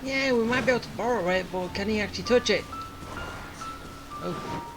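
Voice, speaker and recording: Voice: mid-range voice, normal speed, poor audio. Gamer just chatting over a playthrough, offhand little asides, game music going behind. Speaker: game streamer playing through a story quest. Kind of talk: casual gameplay commentary.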